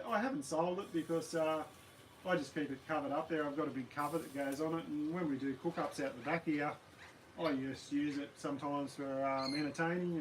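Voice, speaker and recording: A man with an Australian accent and a slightly croaky voice, chatting casually and rambling on a live stream from his backyard. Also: a little high, slight background noise, low-quality recording. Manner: rambling, relaxed, many ums and uhs. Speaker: camping channel host. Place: backyard outdoors.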